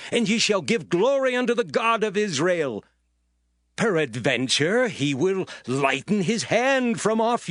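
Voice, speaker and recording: A man playing a solemn ancient priest who gives stern, deliberate, declamatory counsel in a dramatized scripture reading. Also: on the high side. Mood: solemn instruction. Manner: slow, deliberate, emphatic, declamatory.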